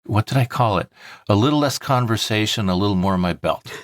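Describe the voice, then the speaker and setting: A man's voice: fairly low pitch, brisk, no room sound, clean studio sound. A man hosting a podcast, explaining things in a casual, methodical way. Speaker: podcast host.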